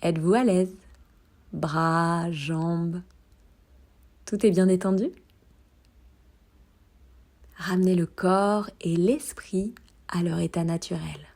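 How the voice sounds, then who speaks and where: Slow, mellow woman guiding meditation. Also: on the low side. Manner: calm, soothing, unhurried instructions with pauses. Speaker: yoga meditation guide. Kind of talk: guided meditation, body scan.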